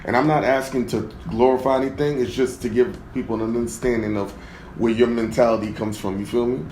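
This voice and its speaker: A man's voice: medium pitch, fairly even intonation, fairly quick, a little mellow, roomy sound, slight background noise, poor audio. A man hosting an interview, asking easygoing questions full of fillers. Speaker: interview host.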